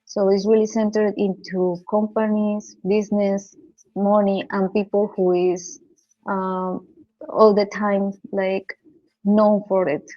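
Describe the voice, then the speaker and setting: Colombian woman, mellow voice, dull recording. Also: medium pitch, little pitch movement, slow pace, dry recording. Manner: hesitant, with frequent fillers and restarts. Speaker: guest, physicist and data scientist. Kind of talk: conversational interview answers.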